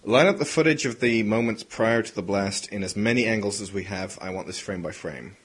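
A man's voice: on the low side, fairly quick, slightly rough. A man playing a brash, sardonic male intelligence chief in an audio drama, bossy and teasing. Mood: brisk, commanding. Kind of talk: hobby audio drama acting.

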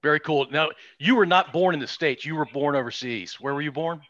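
A man's voice: fairly high pitch, fairly quick, fairly bright tone, no echo, highs cut off. A man in his fifties hosting a podcast, chatting casually with a guest and hesitating now and then. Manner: friendly, hesitant with fillers. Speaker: podcast host. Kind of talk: casual interview chat.

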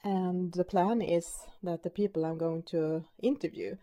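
A woman in her forties with a Swedish accent introduces her podcast in English, her second language. Her voice is a touch low and warm, and she talks slowly with hesitant fillers and repeated words. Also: fairly even intonation. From Sweden. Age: 40s. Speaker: podcast host. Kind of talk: casual personal introduction.

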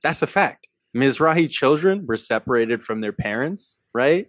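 A young adult man co-hosting a podcast, talking in an animated, emphatic way and often tagging points with "right?". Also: medium pitch, normal speed, no room sound, studio quality. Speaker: podcast co-host. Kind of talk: casual political discussion.

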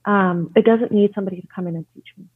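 A woman's voice: mid-range voice, fast, husky, no echo. Earnest woman on a tinny line. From Canada. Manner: earnest, thoughtful, many restarts. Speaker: educator and guest. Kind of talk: conversational interview. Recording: dry phone-like line.

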